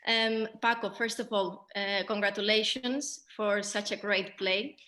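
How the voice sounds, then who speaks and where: A woman moderating a post-show theatre Q&A, speaking haltingly as she searches for words. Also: medium pitch, steady tone, sharp tone.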